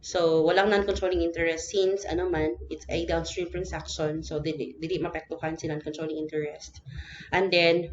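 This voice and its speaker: A woman with a Filipino accent and a low voice teaches like an instructor walking through a worked example. She speaks hesitantly, often adding little check-in tags. The recording is dull and lo-fi with faint background noise. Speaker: accounting instructor. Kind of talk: informal recorded lecture explaining worked examples.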